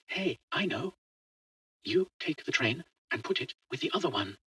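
A man's voice: a little high, sing-song, moderate pace, sharp tone, breathy edge, no room sound, recorded from a distance, voice pushed up, full character voice. A man voicing a fearless, chatty eleven-year-old boy who talks simply and matter-of-factly in a story. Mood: bright, eager. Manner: simple, childlike, matter-of-fact. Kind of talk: audio short story dialogue.

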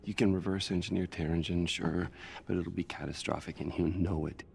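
Low, gravelly troubled scientist hero. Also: male voice, steady tone, fairly quick, a little mellow. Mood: urgent confrontation. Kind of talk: video game cutscene acting.

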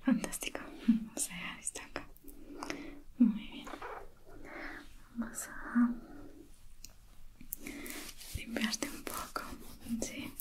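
A woman in a whispered ASMR spa roleplay, playing a gentle, reassuring facialist with a soft, breathy voice. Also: a little high, little pitch movement, slow, pauses often. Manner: gentle, reassuring, uses affectionate diminutives.

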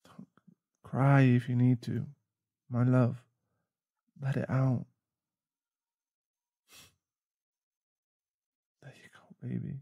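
A man's voice: medium pitch, flat delivery, takes it slowly, creaky, warm tone, no room sound, lo-fi sound. A man playing a protective, tender werewolf boyfriend in an ASMR roleplay, speaking in a soothing, reassuring manner.

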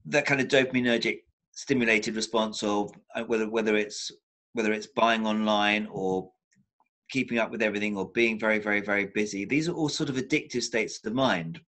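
A man's voice: fairly low pitch, fairly quick, a bit bright. An older man speaking as a therapist guest on a video call from a room at home, talking thoughtfully with frequent restarts and repeated words for emphasis. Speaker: psychotherapist guest. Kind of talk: reflective video-call interview. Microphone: laptop webcam on a video call.

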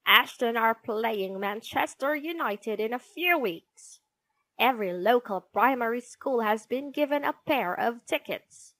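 A woman acts out a fierce storybook schoolmistress, snappish and commanding. The voice is raised and high, with sweeping pitch, and each order is delivered at a measured pace. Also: expressive, a bit bright, no echo, rough recording. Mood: commanding, irritated. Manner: scolding, commanding. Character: stern, snappish, glaring female schoolteacher.

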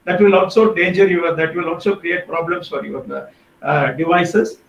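A man with an Indian English accent explains things in an online workshop, his speech halting and full of fillers. His voice sits high for a man. He sounds set back from the mic in a roomy, echoey space, as on a video call. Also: fairly even intonation, gravelly, far from the mic, dull audio, a little hiss or hum. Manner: hesitant, many fillers and restarts. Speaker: guest speaker on podcasting.

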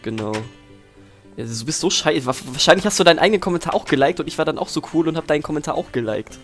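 A man's voice: on the high side, expressive, fast talker, a bit bright. Young man, ironic teasing gamer banter, casual chat, music behind. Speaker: Let's Play host. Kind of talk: casual joking gameplay chat.

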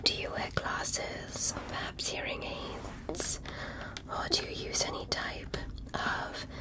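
A woman playing a curious, polite alien doctor in a gentle, methodical ASMR medical roleplay, whispering her questions. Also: takes it slowly, lightly acted.

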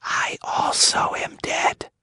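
Ghostly whispering maiden. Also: male voice, bright, forceful tone, dry recording, clean studio sound. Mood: lifeless, eerie. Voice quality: weak, breathy whisper. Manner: slow, lifeless. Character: ghostly dead maiden, frail and eerie.

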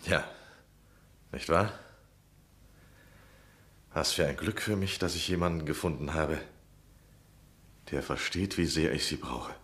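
Höflicher Herr mit tiefer Stimme. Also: male voice, very deep voice, unhurried, pauses often, a bit bright. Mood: eager, persuasive. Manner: polite, persuasive, effusive. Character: courteous, eager, flattering younger gentleman seeking lodgings. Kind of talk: radio drama acting.

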